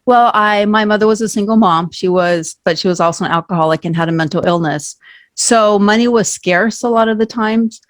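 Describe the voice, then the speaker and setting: A woman's voice: mid-range voice, brisk, no echo. Woman from norway, podcast guest, candid, rambling, dry close sound. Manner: candid, rambling, laughs often. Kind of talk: casual interview talk.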